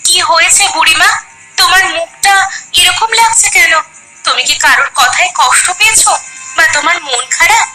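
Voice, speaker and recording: A woman playing a concerned, resolute young village wife who speaks earnestly in an animated story. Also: extremely high pitch, normal speed, over music, poor audio. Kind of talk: Bengali animated story dialogue.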